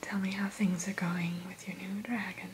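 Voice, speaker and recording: A woman whispering a gentle, courteous ASMR roleplay as the keeper of a fantasy creature shop, in a breathy voice. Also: medium pitch. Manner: slow, gentle, courteous shopkeeper patter. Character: gentle, courteous female keeper of a dragon hatchery. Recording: steady hiss behind close whisper.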